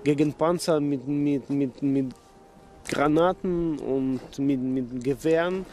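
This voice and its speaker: A man speaking unscripted in an interview, earnest and halting, repeating words as he searches. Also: medium pitch, warm tone, a little hiss or hum. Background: music.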